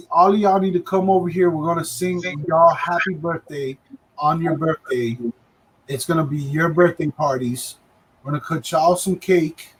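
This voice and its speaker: A man in his thirties chats and jokes on a casual livestream, his voice sitting a little high. He talks in quick, loud bursts. A faint hum of noise runs under him. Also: poor audio. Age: 30s. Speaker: livestream co-host.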